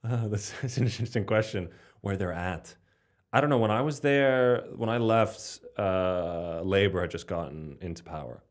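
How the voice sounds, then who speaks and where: A man's voice: on the low side, normal speed. A young man from Slovenia chatting casually as a podcast guest, rambling with lots of fillers and restarts. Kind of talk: casual conversation.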